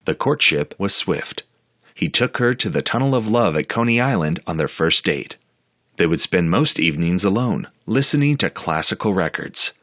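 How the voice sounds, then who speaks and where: A man narrating a documentary in a measured, scripted storytelling manner. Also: sits low, very animated, a bit bright.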